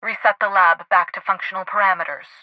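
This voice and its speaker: A woman's voice: medium pitch, fairly even intonation, a little fast, dry recording, lightly acted. Actress as a haughty woman scientist, dry muffled audio. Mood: defensive, urgent. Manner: pompous, formal, precise diction. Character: haughty, high-strung woman scientist heading a research lab. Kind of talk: video game character dialogue.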